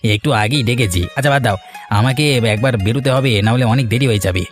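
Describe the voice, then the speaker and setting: A man's voice: mid-range voice, fast, faint music behind. A man voicing a village fisherman in a cartoon, talking in an easygoing way to his wife and a friend. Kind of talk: cartoon dialogue.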